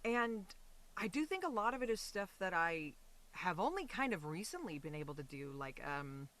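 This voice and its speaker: Woman, interview guest, casual conversational style, animated delivery, rambling and reflective. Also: lowish voice, moderate pace.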